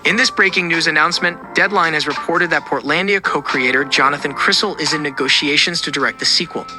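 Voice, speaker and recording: A man's voice: on the high side, fast talker. A man reading scripted entertainment news as a video narrator. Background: music behind the voice.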